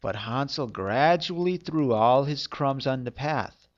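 A man's voice: mid-range voice, animated, unhurried, highs cut off. A man narrating a fairy tale as a storyteller, reading expressively.

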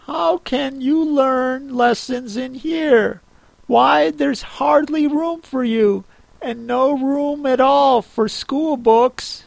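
A man's voice: extremely high pitch, slow, vocal fry, no echo, pitched above the performer's own voice, full character voice. A man voicing a curious, fussy young girl in a storybook reading, speaking primly. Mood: wistful. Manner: prim, scolding herself.

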